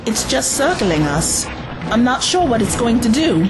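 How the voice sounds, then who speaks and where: An anxious, alert young female sidekick played by an actress in an audio drama. Her high voice swings widely and urgently over a loud music bed. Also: very animated, normal speed, rough recording. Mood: wary. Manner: urgent, exclamatory.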